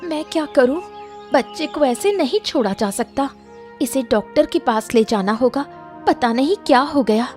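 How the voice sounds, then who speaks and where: A woman's voice: sits high, expressive, normal speed, a little mellow, soft background music. A woman voicing a caring but scolding elder sister who runs a hotel, in an urgent, emphatic cartoon story style. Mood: indignant, urgent. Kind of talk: Hindi cartoon moral story acting.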